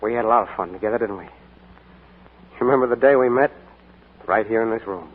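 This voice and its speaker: A man's voice: lowish voice, brisk, slightly rough, phone-quality sound. An actor playing a young man back from the war who stammers and hesitates, in 1940s radio acting on an old broadcast recording. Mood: wry.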